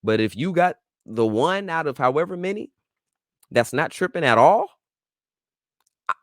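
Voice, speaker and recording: A man hosts his own advice show and talks straight to the listener in a casual, slang-filled way. His voice sits a little high for a man and swings widely up and down as he presses his points with preachy emphasis. The sound is close and dry. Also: expressive, measured pace, dry recording. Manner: informal slang-filled speech. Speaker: show host.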